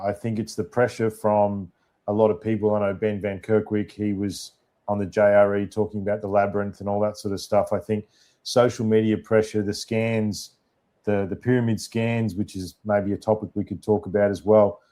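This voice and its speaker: Australian male host, matey and joking, lower-pitched and warm, loose rambling delivery. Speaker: podcast host. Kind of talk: casual in-person chat.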